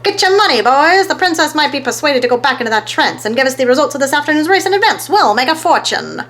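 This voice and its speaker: A woman's voice: high-pitched, sing-song, quick pace, fairly bright tone, voice pushed up. A woman voicing a brash, greedy male racetrack gambler for a children's storybook. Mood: greedy, excited.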